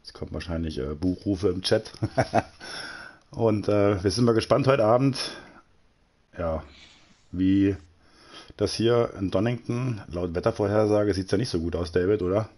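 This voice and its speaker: A man's voice: on the low side, moderate pace, pauses often, a little mellow. Chatty man calling sim races. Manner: chatty, joking, scanning standings aloud. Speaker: race commentator. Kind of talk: live sim racing commentary.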